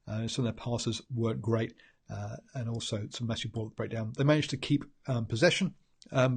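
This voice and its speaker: A man's voice: medium pitch, a little mellow, slightly rough. A man with a New Zealand accent and a stuffy head cold, giving a rambling solo rugby match analysis full of ums and restarts. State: congested from a cold. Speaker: rugby pundit host.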